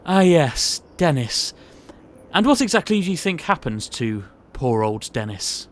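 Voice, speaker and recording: A pompous, genial boss of a shady petting zoo, acted by a man in a comic audio drama. He is jovial and theatrical, drawing out his phrases in a voice lifted a little high. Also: big pitch swings, a little slow, a little hiss or hum. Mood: ominous, probing.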